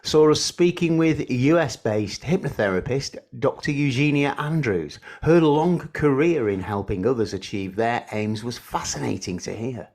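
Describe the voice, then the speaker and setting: A man reading a scripted podcast recap in a measured, announcing way. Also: mid-range voice, animated, a little mellow. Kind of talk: scripted narration.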